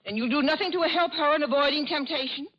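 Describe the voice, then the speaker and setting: Actress as a high-pitched, prim disapproving aunt. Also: female voice, quick pace, natural voice. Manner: formal, haughty. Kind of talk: old-time American radio drama acting. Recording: dull, lo-fi vintage radio recording.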